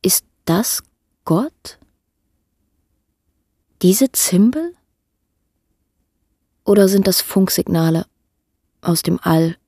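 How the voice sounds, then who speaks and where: An actress playing a weary woman who longs for God, reciting poetic radio drama in a measured, lyrical way. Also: lowish voice, animated, warm tone, breathy edge, no echo, studio quality, full character voice. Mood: searching, anxious. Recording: music under the voice. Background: music.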